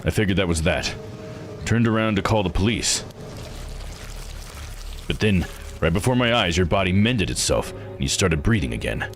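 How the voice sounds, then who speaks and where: A low-voiced, plain-spoken man from a visual novel, read out by a male player. He is gruff and probing, speaking bluntly over faint music. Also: expressive, moderate pace, pauses often. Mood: stern, accusing.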